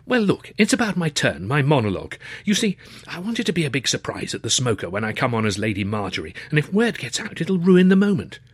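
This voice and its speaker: A man voicing an excitable, nervy young Edwardian student, chummy and gushing, with an upper-class English accent. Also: mid-range voice, brisk, husky, dry recording, clean studio sound, voice pushed up. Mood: nervy, eager. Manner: gushing, stammering, old-fashioned slang.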